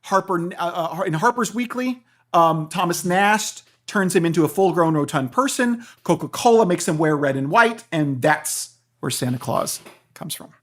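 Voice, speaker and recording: A man's voice: sits high, animated, normal speed. A man speaking as a guest professor in an interview, explaining things in a professorial way and checking in with listeners.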